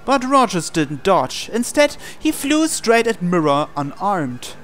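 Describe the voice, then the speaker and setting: A man's voice: high-pitched, very animated, slow pace, a bit bright. A man reading a visual novel aloud as a let's player, with theatrical storytelling and casual asides. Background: game music.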